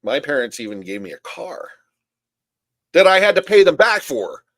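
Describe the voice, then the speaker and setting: Blunt, animated male podcast host. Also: on the high side, normal speed. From United States. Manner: blunt, animated, acts out whiny quotes. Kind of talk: casual opinionated chat.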